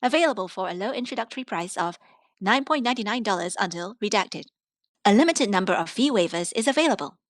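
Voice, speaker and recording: A woman's voice: mid-range voice, a bit bright, no room sound, clean studio sound. A woman giving a scripted reading of literary prose for a podcast. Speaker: reader of poetry and prose on a podcast.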